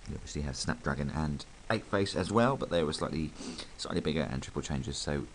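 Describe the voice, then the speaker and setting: A man with a croaky voice from hay fever, chatting casually and rambling a little as he reviews a toy. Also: very deep voice, animated, brisk, slight background noise. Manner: chatty, rambling, full of ums.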